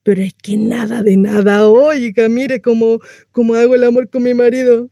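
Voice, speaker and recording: A woman's voice: fairly high pitch, level tone, moderate pace, gravelly, dark tone, dry recording, clean studio sound. A woman acting a chatty, naive, mistreated housewife in a comic stage monologue, confessional, rambling and often interrupting herself. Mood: embarrassed, comic. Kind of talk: theatrical comic monologue addressed to a listener.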